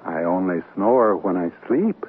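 A man's voice: deep voice, lively intonation, measured pace. A man playing an easygoing, romantic middle-aged newlywed husband who jokes breezily in a radio comedy. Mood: groggy, defensive. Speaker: actor. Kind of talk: 1970s radio comedy acting. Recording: narrowband 1970s radio recording, faint hiss.

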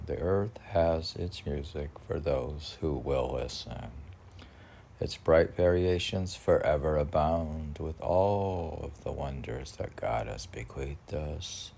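A man rambling through a podcast of chat and poetry reading, halting and often talking to himself in questions and answers. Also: low voice, vocal fry, warm tone. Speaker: poet reading and chatting on own podcast.

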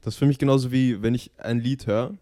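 A man's voice: mid-range voice, fairly even intonation, quick pace, warm tone, no echo, studio quality. A young man co-hosting a podcast, talking casually with slang and English fillers. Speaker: podcast co-host. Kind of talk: casual chat among friends.

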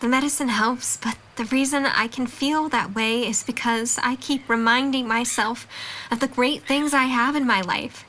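A woman acting a frank young college student in an audio drama. Her voice is high and bright, and she comes across as earnest and firm, a supportive friend with limited patience. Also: fairly even intonation, moderate pace, a little hiss or hum. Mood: earnest, reflective. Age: young adult.

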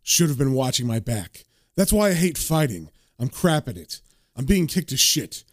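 A man's voice: mid-range voice, sing-song, moderate pace, dry recording, clean studio sound, voice pushed down. A man voicing a world-weary, cynical British man narrating his own story in terse, gloomy mutters. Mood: defeated.

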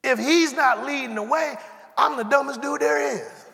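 Pastor in his forties, high bright voice, playful preaching, acts out both sides of a dialogue. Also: moderate pace, creaky, long reverb tail. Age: 40s. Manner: conversational preaching, acts out dialogue, humorous asides. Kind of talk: sermon. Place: church sanctuary.

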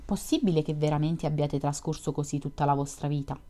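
A woman's voice: deep voice, fairly even intonation, brisk, mellow, close to an everyday voice. A woman voicing an earnest, tender young girl who speaks with anxious, imploring urgency in a storybook reading.

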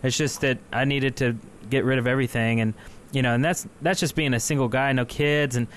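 A man's voice: medium pitch, steady tone, brisk, a little hiss or hum. Chatty male podcast co-host. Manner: chatty, laughs often, restarts sentences. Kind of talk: casual live call-in chat.

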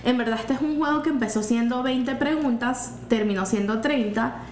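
A woman's voice: on the high side, normal speed, a little mellow. A woman in her twenties with a Venezuelan accent, co-hosting a casual podcast chat, upbeat and laughing, mixing in English phrases. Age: 20s. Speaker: podcast co-host. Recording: echoey room with faint noise.

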